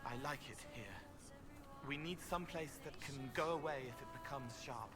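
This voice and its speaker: Man playing an anxious, light-voiced gentle young spirit. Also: on the high side, normal speed, close to an everyday voice. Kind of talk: video game dialogue acting. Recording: music under the voice.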